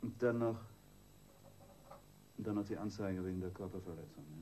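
Male actor doing wry officious cop in a radio crime play, lowish mellow voice, chatty but formal. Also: normal speed, a little hiss or hum, natural voice. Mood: businesslike, wry. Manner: chatty, formal, faintly sardonic. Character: officious, wry police detective wrapping up paperwork. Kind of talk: German radio crime drama acting.

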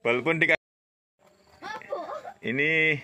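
A man's voice: on the high side, slow pace, sharp tone. A jovial, teasing man hosting a casual durian farm vlog, chatting outdoors. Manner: jovial, teasing, laughs often. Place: outdoors at an orchard shelter.

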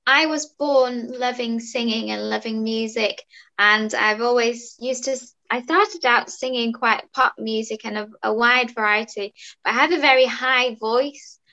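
A woman's voice: on the high side, fairly even intonation, unhurried, sharp tone. A woman in her thirties, a singer, chatting upbeat with fillers as a guest over a video call. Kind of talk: casual interview chat. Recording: dull lo-fi video call audio.

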